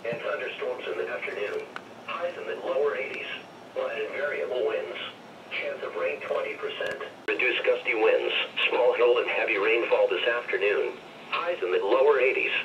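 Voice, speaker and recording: Synthetic American male weather radio announcer, mid-pitched, measured scripted read, heard muffled from a distant radio speaker. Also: a little hiss or hum. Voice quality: synthetic text-to-speech voice. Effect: weather radio broadcast. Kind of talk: scripted weather bulletin reading. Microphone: radio receiver picked up by a camera mic.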